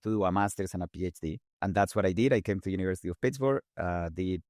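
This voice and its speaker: Fast-talking Colombian-accented man. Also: low voice, no room sound, clean studio sound. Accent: Colombian Spanish. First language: Spanish. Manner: fast-talking, animated, repeats words while restarting. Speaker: guest engineer. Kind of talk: casual interview talk.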